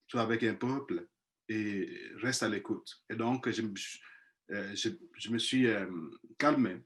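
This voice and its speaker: A man of middle pitch shares his missionary experience over a video call. He speaks French as a second language, pausing often and restarting his words. His delivery is thoughtful and halting. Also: fairly bright tone. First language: not French; speaks five languages. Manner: hesitant, many restarts and repeated words. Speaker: missionary priest, panel guest. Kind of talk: personal testimony in a webinar.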